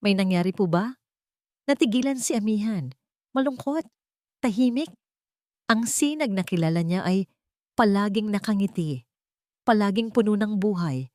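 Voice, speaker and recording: A woman's voice: medium pitch, expressive, moderate pace, long pauses, darkish tone, no room sound, clean studio sound. A woman with a Filipino accent narrating a dramatic scripted love story.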